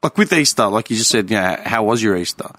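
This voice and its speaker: A man's voice: on the low side, animated, fast talker, a bit bright, no room sound. A man in his thirties with an Australian accent, talking bluntly and reflectively in casual chat, swearing freely. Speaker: podcast co-host.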